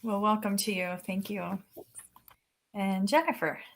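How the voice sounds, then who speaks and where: A woman's voice: mid-range voice, fairly even intonation, measured pace. A woman chairing an informal board meeting, friendly and lightly joking as she hands the floor to others. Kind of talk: running an informal board orientation.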